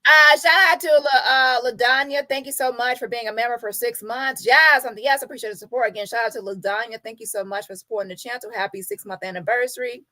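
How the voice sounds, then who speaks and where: A woman's voice: a little high, steady tone, fast talker, hardly any pauses, pushed and bright, no room sound. A woman host giving casual reaction commentary, blunt and sassy, repeating phrases for emphasis. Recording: music playing behind the voice.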